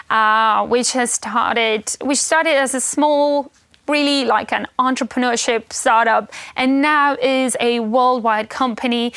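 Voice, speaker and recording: A woman's voice: on the high side, fairly bright tone, no room sound. A native Estonian woman with an Estonian accent gives an informational webinar presentation in an upbeat, promotional manner. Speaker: education marketing presenter.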